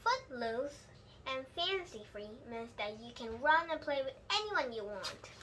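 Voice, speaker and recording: A patient storybook mother, read by a woman with a high, bright voice. She explains things soothingly but firmly. There is faint noise in the recording. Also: very animated, unhurried, exaggerated voice. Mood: gently firm. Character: patient, gently firm mother of a little girl.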